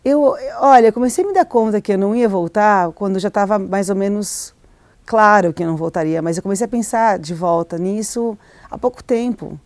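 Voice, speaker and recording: A woman's voice: mid-range voice, brisk, darkish tone, no echo. A middle-aged woman, an author raised in Argentina, answering interview questions in a hesitant, reflective way with many restarts and fillers. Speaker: novelist, interview guest.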